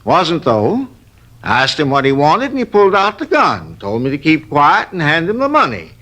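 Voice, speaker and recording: A man's voice: a little high, huge pitch swings, moderate pace, bright, forceful tone, heavily acted. An actor playing a fussy, long-winded male robbery witness who grumbles and wanders off topic, in 1950s radio drama style. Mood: recounting. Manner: rambling, grumbling, easily sidetracked.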